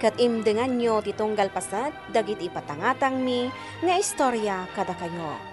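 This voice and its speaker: A woman's voice: medium pitch, animated, normal speed, music in the background. A woman radio host giving a scripted program introduction and scripture reading.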